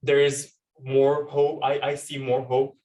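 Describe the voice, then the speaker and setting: Young man, even-toned, recorded from afar. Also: mid-range voice, unhurried. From Turkey. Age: young adult. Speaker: panel speaker, law student and activist. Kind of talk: informal panel talk with personal storytelling. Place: panel event at a university. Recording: distant microphone at live event.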